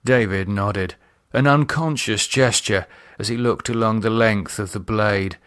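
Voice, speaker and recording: A man reading a horror novel as a dramatic audiobook, measured and expressive in his delivery. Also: on the low side. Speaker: author and narrator reading own novel.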